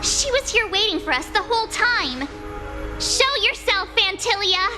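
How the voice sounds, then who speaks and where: A squealing-high, feisty young girl companion in a game. She exclaims excitably over loud music. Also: measured pace. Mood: indignant. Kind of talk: scripted game dialogue.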